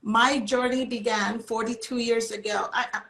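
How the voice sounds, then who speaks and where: Casting: woman in her fifties, Filipino native speaker, slightly high gravelly voice, echoey room, storytelling. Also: fairly even intonation, moderate pace, a bit bright. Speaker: beauty chemist, brand co-founder. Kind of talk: panel discussion.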